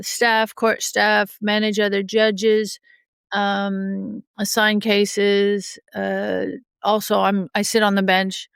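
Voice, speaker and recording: A woman's voice: mid-range voice, vocal fry, clear, resonant tone, no echo. Woman, middle-aged, raised in Australia, judge, candid interview, getting over a cold, dry close sound. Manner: frank, often laughs softly, many fillers and restarts. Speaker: judge, podcast guest. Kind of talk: candid interview talk, recovery story.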